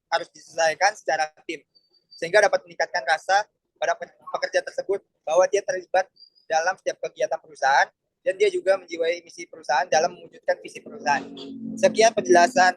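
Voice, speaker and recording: A young man from Bekasi presents his section of a class project. He explains in a formal way with many "eh" pauses. His voice sits fairly high and sounds dry and close. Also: a bit bright, no echo, low-quality recording. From Bekasi, Indonesia. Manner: formal, explanatory, frequent "eh" hesitations. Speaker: student presenter.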